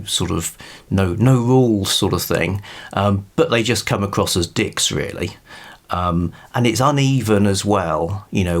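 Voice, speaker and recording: A man with a fairly low voice talks through a casual book review. He is chatty and rambling, thinking as he goes, and delivers his opinions with wry understatement. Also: creaky.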